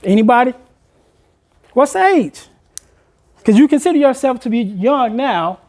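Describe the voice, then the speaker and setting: A man, a motivational speaker addressing a room of high school students, asking them questions and urging them to take part. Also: animated, a little slow, darkish tone. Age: 40s. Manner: engaging the audience with questions, conversational and encouraging.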